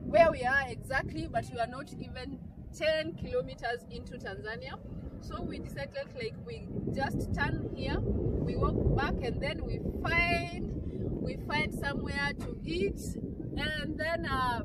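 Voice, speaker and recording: A woman with a Kenyan accent vlogging a road trip outdoors, chatty and laughing often as she talks straight to her viewers. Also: high voice. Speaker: travel vlogger. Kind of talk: casual travel vlog narration. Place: outdoors by a roadside market. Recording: loud outdoor noise.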